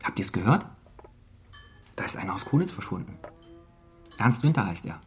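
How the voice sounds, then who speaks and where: A man's voice: on the low side, big pitch swings, normal speed, faint music behind. An actor playing a chatty young man, the grown son of a small-town family, in a radio drama. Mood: gossipy. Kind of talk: German radio drama acting.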